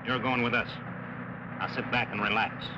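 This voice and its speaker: Smug menacing 1940s gangster played by an actor. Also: male voice, medium pitch, steady tone, normal speed, noisy background, natural voice. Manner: tough slangy talk, mock-friendly. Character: menacing gangster thug, smug old cellmate. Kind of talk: 1940s film serial acting. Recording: dull 1940s film soundtrack, loud music.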